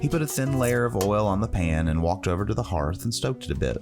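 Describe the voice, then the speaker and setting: Male narrator, low register, reading fantasy fiction over clearly audible plucked guitar. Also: lively intonation, fast talker. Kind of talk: scripted fantasy story narration. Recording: guitar music behind the voice. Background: plucked guitar music.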